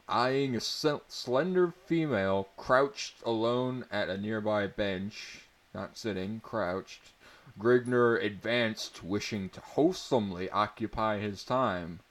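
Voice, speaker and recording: A man with a fairly low voice narrates pulp fantasy at a very slow pace. He is theatrical and mock-serious, and he drops into amused asides about the text.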